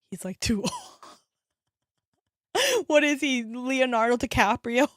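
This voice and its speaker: A woman's voice: a little high, slow, no echo, studio quality. A woman in her thirties reacting to a video with casual, sympathetic chatter, gasping and sighing. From United States. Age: 30s. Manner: chatty, sympathetic, frequent gasps and sighs. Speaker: VTuber streamer reacting to a video.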